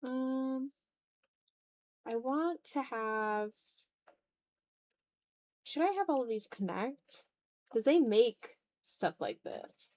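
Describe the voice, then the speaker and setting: A young adult woman chatting casually on an art livestream, animated and slangy, with a self-deprecating way of talking. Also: sits high, extremely slow, long pauses. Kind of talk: casual livestream chat while drawing.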